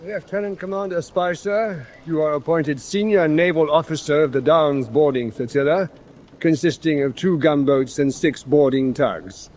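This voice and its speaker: An actor playing a severe British naval superior in a radio drama, formally delivering orders in a clipped official manner. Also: male voice, on the high side, normal speed, a little mellow, natural voice. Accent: British English.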